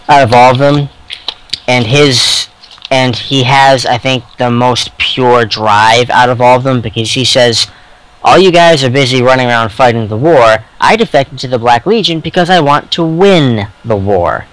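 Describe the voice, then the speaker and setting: Blunt, halting man with medium pitch. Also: a bit bright, smooth, poor audio. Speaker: podcast co-host. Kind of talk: casual fan discussion of sci-fi novels.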